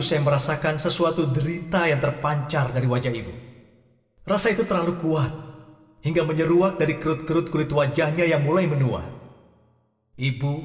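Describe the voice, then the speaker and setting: A man's voice: medium pitch, close to an everyday voice. An actor playing Ken, an earnest, devoted grown son who narrates his own story in a heartfelt, solemn way in an audio drama. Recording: roomy echo with long reverb, distant, music behind.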